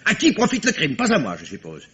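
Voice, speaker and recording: A man's voice: high voice, huge pitch swings, quick pace, sharp tone, full character voice. An actor playing an indignant, argumentative criminal lawyer in a 1950s-style French radio mystery, theatrical and pompous in his protests.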